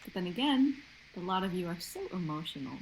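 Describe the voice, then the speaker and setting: A woman's voice: fairly low pitch, very animated, quick pace, a little hiss or hum, poor audio. A woman voicing a haughty, condescending alien who threatens humans, in a live script reading. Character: haughty shape-shifting female alien, scornful of humans.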